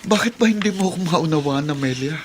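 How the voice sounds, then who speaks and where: A man's voice: a little high, expressive, fast, slightly rough. An actor playing a patient, protective middle-aged foster father in a Filipino radio drama, earnest in his delivery. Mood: earnest pleading.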